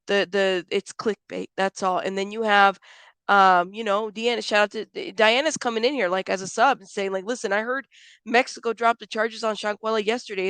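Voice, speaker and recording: A woman hosting a live commentary stream, stuffed up and a little hoarse, talking bluntly and chatting with viewers by name. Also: medium pitch, fairly bright tone, no room sound. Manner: blunt, frank, calls people out, swears; chatty, greets viewers by name, many fillers. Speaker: YouTube commentary host.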